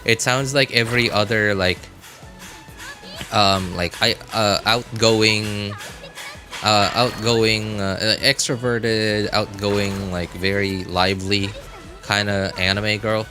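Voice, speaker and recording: A man's voice: on the low side, steady tone, slow, music in the background. A man livestreaming games, chatting casually with viewers in a rambling, hesitant way, often backtracking and hedging. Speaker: game livestreamer.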